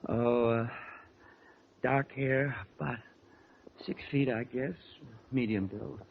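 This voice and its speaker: An actor playing a frightened, weary male witness who speaks faltering and weak, with a gravelly voice. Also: mid-range voice, fairly even intonation, slow pace, a little hiss or hum. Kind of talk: 1940s radio drama acting. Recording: tinny old radio broadcast.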